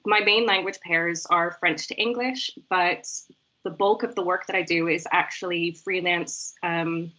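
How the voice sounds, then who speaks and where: A woman's voice: fairly low pitch, fairly even intonation, measured pace, pushed and bright, rough recording. An American woman speaking as a guest on a casual video call. She talks in an easygoing way, with frequent "um"s. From United States. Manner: easygoing, uses "um" often.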